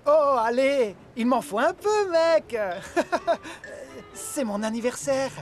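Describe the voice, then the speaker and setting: A man's voice: very high voice, animated, takes it slowly, exaggerated voice. A man playing a cheeky young footballer in a children's cartoon, eager and wheedling. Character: cheeky, pleading young male footballer, big eater. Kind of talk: dubbed children's cartoon acting. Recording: music behind the voice.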